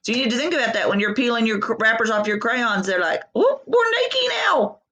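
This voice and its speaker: A woman's voice: on the high side, fast, fairly bright tone. A woman reading a picture book aloud, with informal chatty asides to young listeners. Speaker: read-aloud storyteller.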